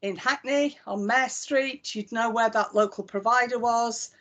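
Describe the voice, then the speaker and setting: A woman's voice: on the high side, unhurried, fairly bright tone. A woman with a Lancashire accent, a chief executive giving a live walkthrough of a website and explaining each click in a conversational way with frequent ums. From Northwest England. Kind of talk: live software demonstration.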